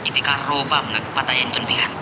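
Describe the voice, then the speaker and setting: A man's voice: mid-range voice, steady tone, brisk, natural voice. A man playing a chummy, sly drug-dealing crony with an Ilocano Filipino accent in an amateur audio drama. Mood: upbeat, sly. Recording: clear ambient noise behind.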